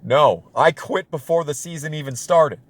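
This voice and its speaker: A man's voice: a little high, moderate pace, no echo. A young man telling a casual personal story as an exasperated, self-deprecating rant with plenty of swearing. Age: young adult. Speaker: storytelling video creator.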